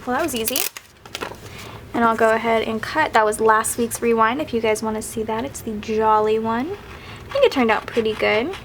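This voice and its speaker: A woman's voice: a little high, moderate pace, a little hiss or hum. A young woman chatting casually and a bit rambling while she works on her planner, sounding sniffly from crying. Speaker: planner vlogger. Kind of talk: casual craft vlog chat.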